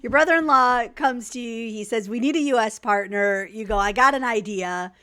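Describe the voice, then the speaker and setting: A woman hosting an interview podcast as a coach, asking questions with quick, enthusiastic energy. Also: fairly high pitch, a bit bright, clean tone. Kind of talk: conversational interview.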